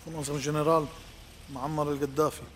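Schauspieler als schnell sprechender, heller Bote. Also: male voice, a little high, little pitch movement, a bit bright, slight background noise, natural voice. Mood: purposeful. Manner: brisk, uses Arabic phrases. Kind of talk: German radio crime drama acting.

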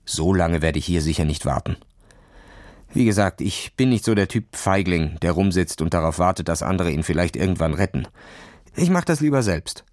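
A man's voice: low-pitched, lively intonation, moderate pace, darkish tone, dry recording, clean studio sound, pitched below the performer's own voice. A man voicing a cocky, impatient schoolboy who boasts and taunts in a children's audio story. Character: cocky, impatient, daredevil schoolboy acting cool.

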